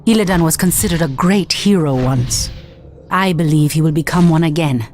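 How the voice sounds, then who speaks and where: A woman's voice: sits low, moderate pace, darkish tone, a little hiss or hum, lightly acted. A woman playing a resolute night elf leader in a fantasy game cutscene, speaking earnestly and formally, with music behind her. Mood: hopeful conviction.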